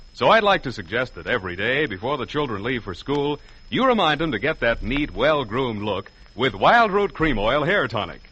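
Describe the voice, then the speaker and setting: A man's voice: mid-range voice, sharp tone, slightly rough. A male radio announcer with a polished, emphatic sales delivery in 1940s broadcast style. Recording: muffled old radio broadcast with music.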